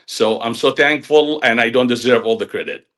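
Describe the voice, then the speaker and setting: A man's voice: medium pitch, normal speed, fairly bright tone. Humble male guest. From Jordan. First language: Arabic. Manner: humble, earnest. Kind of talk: podcast interview.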